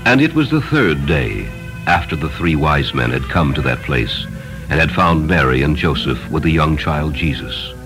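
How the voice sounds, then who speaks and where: A male narrator with a very low voice reads a literary tale. His delivery is formal and solemn, in the manner of scripted storytelling, and music plays clearly behind him. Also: sing-song, moderate pace, poor audio.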